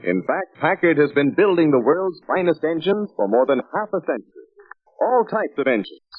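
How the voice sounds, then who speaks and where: A man's voice: on the high side, sing-song, moderate pace, breathy edge, faint music behind. Tinny vintage film American male announcer. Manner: emphatic, polished announcer delivery. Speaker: narrator of a sales training film. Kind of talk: 1950s promotional scripted narration. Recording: tinny vintage film soundtrack, narrow band.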